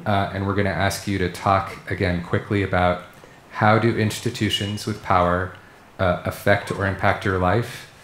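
A man's voice: deep voice, little pitch movement, moderate pace, fairly bright tone, a little hiss or hum. A middle-aged man facilitating a university student panel, friendly and organized, with frequent "uh" fillers, recorded in a seminar room by a distant microphone. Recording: echoey room.

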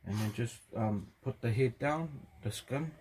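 A man's voice: medium pitch, unhurried, a little mellow, a little hiss or hum. A young man giving a casual step-by-step barber tutorial while cutting hair in a parked van, rambling and joking, with the odd swear.

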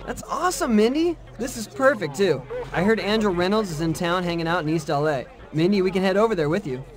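High-voiced upbeat young skater buddy. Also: male voice, lively intonation, fairly quick, music in the background. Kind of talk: video game cutscene acting.

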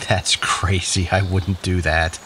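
A man's voice: deep voice, level tone, slow, a bit bright, a little hiss or hum. A man playing an arrogant, sardonic and defensive man with a flippant manner in an audio drama.

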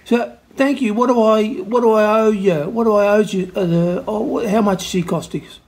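A man's voice: high voice, normal speed, darkish tone. A middle-aged man playing an eager, bumbling prank caller who fumbles his English, hesitating and repeating himself. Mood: muddled. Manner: hesitant, repetitive, muddled word-searching. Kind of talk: comic prank phone call. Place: home kitchen, indoors. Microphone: camera close to face, phone on speaker.

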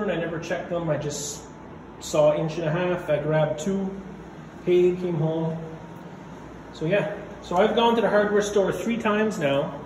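A man casually narrating his own basement repairs while filming on his phone, rambling and matter-of-fact with many fillers. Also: a little high. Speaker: DIY home renovator. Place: concrete basement. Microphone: phone camera held while walking. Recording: echoey basement with background noise.

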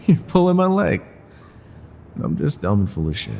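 Guy doing a low mellow older ex-mob bartender, tired and wry, voice moves around a lot, asmr roleplay with noise behind it. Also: huge pitch swings, a little slow, gravelly, exaggerated voice. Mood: flustered, self-deprecating. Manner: weary, wry, confiding. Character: world-weary older ex-mafia bartender, gruff, self-deprecating, secretly tender. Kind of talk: intimate ASMR roleplay monologue.

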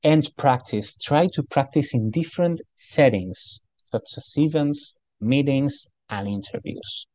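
Spanish-accented guy talking over slides, dark mellow voice, dry and a bit muffled. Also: mid-range voice, measured pace, hardly any pauses, dry recording. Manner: chatty, repeats himself, asks "okay?". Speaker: pitch trainer.

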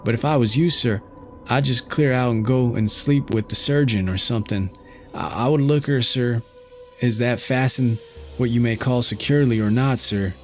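A man's voice: mid-range voice, normal speed, soft background music, voice pushed up, natural voice. A man voicing a nervous, fawning male ship's steward with a stammering, wheedling manner. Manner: stammering, wheedling, dropped aitches.

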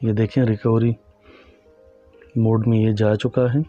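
Low, flat-voiced man walking through steps. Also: a little slow, dull audio, faint music behind. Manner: calm, instructive, addresses viewers as friends. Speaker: tech tutorial host. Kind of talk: step-by-step phone tutorial narration.

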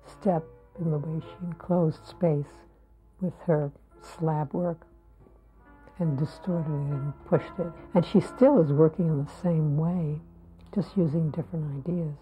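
A woman's voice: low-pitched, steady tone, unhurried, highs cut off, faint music behind. A woman speaking in an interview, giving a considered appraisal of a friend's work. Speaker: fellow potter and friend. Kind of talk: interview commentary.

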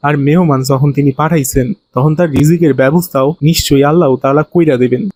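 A man's voice: a little high, steady tone, fast, darkish tone, a little hiss or hum, natural voice. A man playing a poor, devout village husband in a cartoon, speaking earnest, pleading colloquial dialect with a Bangladeshi accent. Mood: reassuring, devout. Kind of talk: animated moral story dialogue.

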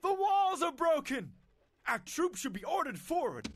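Formal old-world army advisor, high lively voice, game music behind. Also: male voice, measured pace, heavily acted. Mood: solemn. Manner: formal, declamatory. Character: male battle advisor announcing in ancient war game. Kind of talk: game voice lines.

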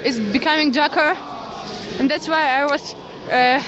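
A young Ukrainian woman with a Ukrainian accent, streaming live from a cold city street in halting English with many restarts, speaking into a phone. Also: high voice. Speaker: citizen journalist. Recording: lo-fi phone stream with crowd around. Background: crowd of protesters.

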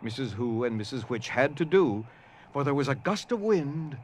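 A man's voice: mid-range voice, animated, moderate pace. A man narrating an audio drama from a script in a dramatic storytelling style. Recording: noisy ambient sound behind.

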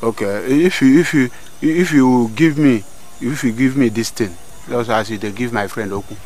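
A man's voice: medium pitch, moderate pace, a little mellow, lightly acted. A man with a Nigerian Pidgin-inflected accent playing an eager, lovestruck village hunter who stammers and pleads. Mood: pleading.

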